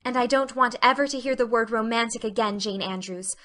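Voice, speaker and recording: A woman's voice: a little high, fairly quick, sharp tone. A woman voicing a spirited, earnest teenage girl with a theatrical manner, in an audiobook. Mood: indignant, remorseful. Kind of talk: audiobook character acting.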